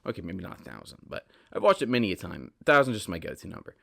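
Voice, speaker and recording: A man's voice: deep voice, big pitch swings, rapid-fire, creaky, a bit bright. A man in his twenties hosting a podcast, chatting casually and emphatically in a rambling solo monologue, repeating himself, with a slightly stuffy nose. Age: 20s. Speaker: podcast host.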